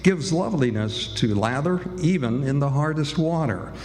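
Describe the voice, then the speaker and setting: A man's voice: fairly high pitch, animated, normal speed, a little mellow. A man announcing a live old-time radio show, reading scripted introductions and commercials. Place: live stage in a hall. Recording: reverberant hall, music behind.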